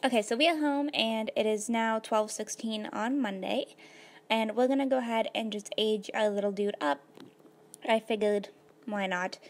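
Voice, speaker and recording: A woman with a slightly hoarse voice, casually narrating her life-simulation gameplay at a computer, rambling with lots of fillers. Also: fairly high pitch, moderate pace. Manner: rambling, filler-heavy, laid-back. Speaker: gaming video host.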